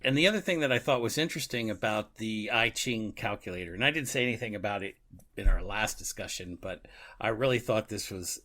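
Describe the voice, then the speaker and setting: A man's voice: fairly low pitch, fairly quick, sharp tone. A man co-hosting a podcast, casually reflecting and thinking aloud with a chuckly manner. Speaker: podcast co-host. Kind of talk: casual book and radio drama discussion.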